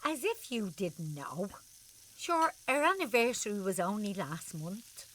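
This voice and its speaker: A woman's voice: mid-range voice, big pitch swings, a little slow, fairly bright tone, slight background noise, rough recording. A woman playing an affectionate, teasing Irish wife in her sixties in a radio drama. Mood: playful scolding.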